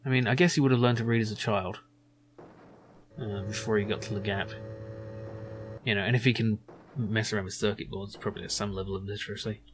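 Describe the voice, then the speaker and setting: A man's voice: lowish voice, steady tone, a little fast, pauses often, background noise. A man giving casual let's play commentary, thinking aloud in a wry, musing way. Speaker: video game streamer.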